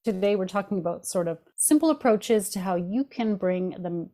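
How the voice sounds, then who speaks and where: Easygoing female co-host, mellow warm tone, casual explainer. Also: mid-range voice, normal speed. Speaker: podcast co-host. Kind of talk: casual podcast conversation.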